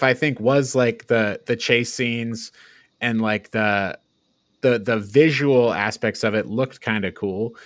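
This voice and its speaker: Mid-pitched man reviewing, very dry sound. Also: no echo. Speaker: podcast co-host. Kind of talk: casual opinionated film review.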